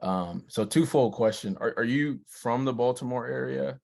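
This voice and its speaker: A man hosting a podcast, asking relaxed, thoughtful interview questions. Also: mid-range voice, normal speed, vocal fry. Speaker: podcast host.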